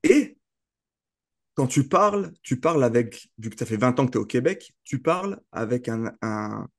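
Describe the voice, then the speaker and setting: A man's voice: mid-range voice, expressive, moderate pace. A man hosting a casual interview, often restarting and repeating words mid-sentence. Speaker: host.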